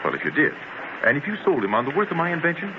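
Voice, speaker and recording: An eager, folksy inventor pitches his creation in an old radio play. He is persuasive and very animated, heard through a muffled, noisy 1930s transcription. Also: very fast. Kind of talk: 1930s radio drama acting.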